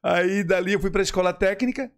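A man's voice: high voice, fairly quick. Joking middle-aged man with a Florianópolis accent. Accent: Florianópolis Portuguese. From Florianópolis, Brazil. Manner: playful, jokey, reflective asides. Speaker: podcast guest. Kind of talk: casual storytelling. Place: small podcast studio. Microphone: headset with boom mic.

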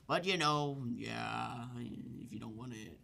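A man voicing Leonardo, an aging, ruthless mafia boss who talks in a slow, grumbling, theatrical way. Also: medium pitch, creaky, bright, forceful tone, heavily acted. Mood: gruff.

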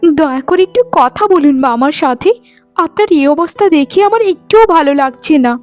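A gentle young daughter-in-law in a melodramatic cartoon voiced by a woman. She pleads earnestly and respectfully in a high voice over faint music. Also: lively intonation, a little fast. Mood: worried, pleading.

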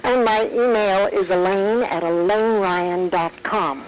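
A woman's voice: mid-range voice, fairly even intonation, slow, a little hiss or hum. A woman author being interviewed on a radio phone call, talkative and emphatic. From United States. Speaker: author guest.

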